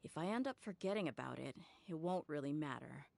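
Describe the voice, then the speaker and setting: An actress playing a tough but warm-hearted mercenary woman in an anime game, speaking frankly and informally. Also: deep voice, expressive, a little fast, gravelly. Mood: reassuring.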